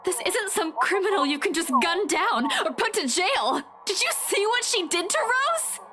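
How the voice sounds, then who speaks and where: Visual novel role: distraught, insistent young woman, extremely high pitch, grief and fear throughout. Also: very animated, moderate pace. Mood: desperate, pleading. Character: frightened, grieving young woman insisting a ghost killed her friend. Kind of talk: visual novel game voice acting. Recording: loud game music behind. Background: music.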